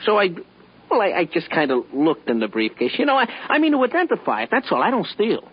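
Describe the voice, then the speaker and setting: An actor playing a nervous, eager-to-please American working-class bar patron in a 1950s radio drama, rambling and repeating himself. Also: male voice, high-pitched, sing-song, fast, husky, heavily acted. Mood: nervous, placating. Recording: dull vintage radio recording.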